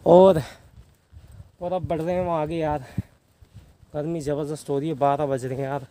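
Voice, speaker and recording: Young man, high voice, casual travel vlog, from Haryana, clear background noise. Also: little pitch movement, normal speed, mellow. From Haryana, India. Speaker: travel vlogger, hitchhiker. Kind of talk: casual vlog narration to camera. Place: outdoors on bridge and highway, later in a car. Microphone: phone or camera at arm's length. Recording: noisy outdoor roadside and vehicle noise.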